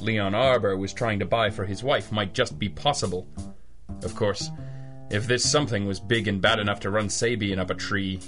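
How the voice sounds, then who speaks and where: An actor playing a tough, wry private detective, dry and world-weary in old-time radio drama style. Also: male voice, lowish voice, a bit bright, close to an everyday voice. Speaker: detective and narrator.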